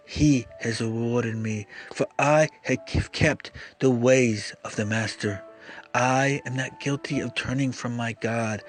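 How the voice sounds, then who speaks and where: Slow, solemn man reading scripture. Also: on the high side. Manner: slow, solemn, declamatory delivery. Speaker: scripture reader. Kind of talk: dramatized Bible reading. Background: faint music.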